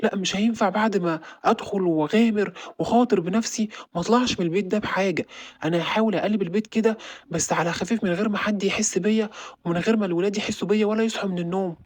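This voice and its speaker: A woman voicing a sneaky, nervous male burglar creeping through a house, speaking low and fast. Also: a little mellow, no echo, close to an everyday voice.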